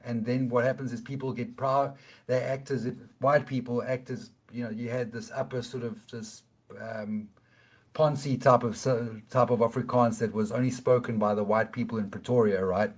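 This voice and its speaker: A man's voice: medium pitch, fairly even intonation. A middle-aged man with a Cape South African accent, a guest explaining things in an interview, often restarting and checking in with "okay?". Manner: halting, many restarts, tags points with "okay?".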